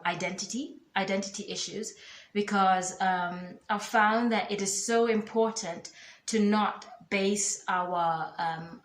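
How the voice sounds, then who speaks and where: A young woman introducing herself in a thoughtful way, with many filler pauses. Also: medium pitch. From born in the United Kingdom, raised in Zambia, Zimbabwe and Kenya. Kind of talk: self-introduction video. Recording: roomy echo.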